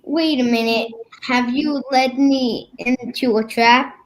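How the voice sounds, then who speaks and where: A woman playing a suspicious masked stranger in an old-time radio comedy, insistent and gruff. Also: sits high, steady tone, slow pace, a little hiss or hum, rough recording. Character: suspicious costumed man in a mask. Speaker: radio play performer.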